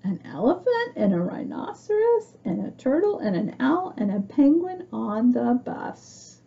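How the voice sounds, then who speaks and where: A woman's voice: fairly high pitch, big pitch swings, unhurried, vocal fry, breathy edge, dull audio. A woman reading a picture book aloud to young children, expressively, with chatty asides and questions for her listeners. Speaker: storybook reader for young children.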